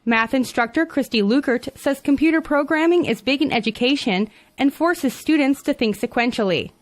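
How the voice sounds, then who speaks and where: A woman with an American accent reads a local news report. Her voice sits on the high side, and she delivers prepared copy as a field reporter. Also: normal speed. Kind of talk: scripted news report narration.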